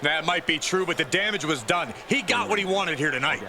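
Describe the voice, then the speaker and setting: A man's voice: fairly high pitch, expressive, fairly quick, background noise, lightly acted. A man playing a cynical, opinionated color commentator in a wrestling video game. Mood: knowing. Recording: loud music over commentary.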